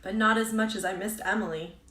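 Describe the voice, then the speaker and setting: A woman's voice: medium pitch, fairly even intonation, a little fast, a bit bright, lightly acted. A woman voicing a wistful, nervous young woman in a storybook, hesitant and earnest. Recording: roomy echo, distant from mic.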